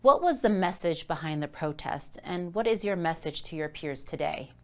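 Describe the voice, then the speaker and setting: Smooth, low-voiced woman interviewer. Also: lively intonation, moderate pace. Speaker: interviewer, news program host. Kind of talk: formal scripted interview questions.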